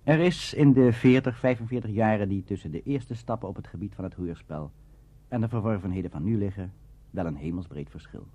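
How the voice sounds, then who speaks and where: A man presenting a radio programme, reading a scripted lecture in a measured, formal, declamatory manner. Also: lowish voice, warm tone, husky. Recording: dull, muffled archive radio recording.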